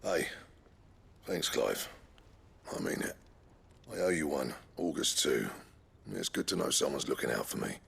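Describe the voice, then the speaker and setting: An actor playing a gruff, proud older blacksmith in a video game, with a blunt, wry, colloquial manner. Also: male voice, very deep voice, very animated, a little slow, pauses often, a bit bright, exaggerated voice. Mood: sincere gratitude. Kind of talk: video game cutscene acting.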